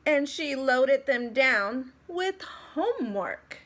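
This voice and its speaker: A woman reading a children's story aloud, with deliberate phrasing and expressive narration. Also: high voice.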